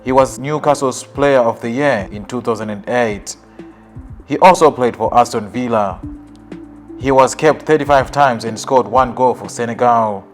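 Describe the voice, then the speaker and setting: Man reading a scripted football countdown. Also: medium pitch. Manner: even, list-like reading of facts. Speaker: narrator. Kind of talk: scripted documentary narration.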